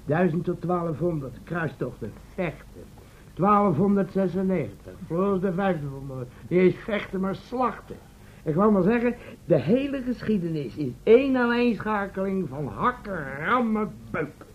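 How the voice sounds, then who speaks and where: A brash, quick-witted sceptic in a radio play. His voice sits a bit high, blunt and cheeky. The recording is a little dull with faint noise. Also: animated. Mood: argumentative, emphatic. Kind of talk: Dutch radio serial acting. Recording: dull, slightly noisy old radio recording.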